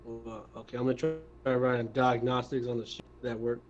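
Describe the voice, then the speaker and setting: A man's voice: medium pitch, little pitch movement, fairly quick, muffled recording. A man chatting casually as a player in a tabletop game. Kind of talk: casual chat.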